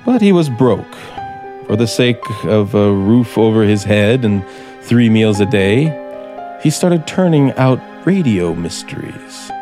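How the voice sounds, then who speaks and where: Slow, menacing radio-drama gunman. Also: lowish voice, very animated, dark tone. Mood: bitter, brooding. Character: embittered, desperate male radio scriptwriter holding a gun. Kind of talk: 1950s radio suspense acting. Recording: 1950s radio broadcast, music behind.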